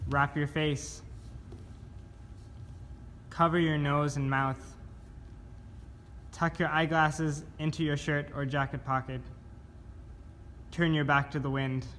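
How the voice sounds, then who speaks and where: A man giving a scripted spoken-word reading of poetic survival instructions in a measured, deliberate manner. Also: on the high side, level tone, a bit bright. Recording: clear background noise.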